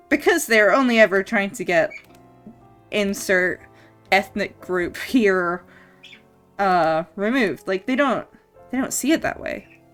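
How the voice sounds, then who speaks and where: A woman's voice: mid-range voice, a bit bright. A young woman with a mixed, mostly Canadian-sounding accent, chatting casually on a stream with lots of ums, restarts and laughs. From Scotland. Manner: rambling, many ums and restarts, laughs often. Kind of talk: casual chat while drawing on stream. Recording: faint music behind.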